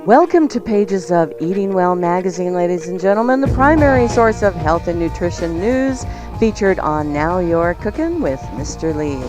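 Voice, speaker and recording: Woman, lower-pitched voice, radio host, sponsor reads, clear music behind. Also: lively intonation, normal speed.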